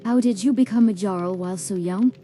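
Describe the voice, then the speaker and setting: A woman's voice: mid-range voice, brisk, mellow, lightly acted. A synthetic woman's voice playing an inquisitive adventurer in a fantasy game. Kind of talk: synthesized game player dialogue. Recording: long hall reverb, music behind.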